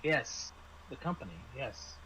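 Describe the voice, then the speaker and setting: A man's voice: medium pitch, lively intonation, unhurried, pushed and bright, slight background noise, low-quality recording. A man playing a courteous, formal male medical attendant at a secret research facility in a tabletop game. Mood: politely guarded.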